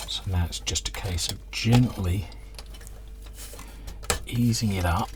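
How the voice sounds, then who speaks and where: A man's voice: low voice, slow, noisy background. A man giving casual hands-on workbench commentary, hesitantly thinking aloud as he works. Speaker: host of a radio restoration channel.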